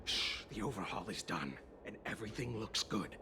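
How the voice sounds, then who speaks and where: An actor playing a gruff, mildly scolding male inspector in a video game, with a gravelly, condescending way of talking. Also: fairly low pitch, animated, moderate pace, background noise. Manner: condescending, placating.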